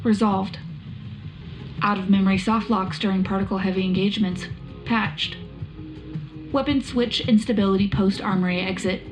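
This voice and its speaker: A woman playing a brisk military tech officer, reading a clipped, official report for a sci-fi wartime radio show. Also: mid-range voice, fairly even intonation, lightly acted, poor audio. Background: faint music.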